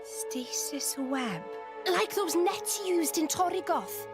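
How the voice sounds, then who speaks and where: A woman's voice: extremely high pitch, animated, slow pace, over music, exaggerated voice. A woman playing an impatient, earnest teenage boy in a game, blunt and full of British slang. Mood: puzzled. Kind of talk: voiced game cutscene acting.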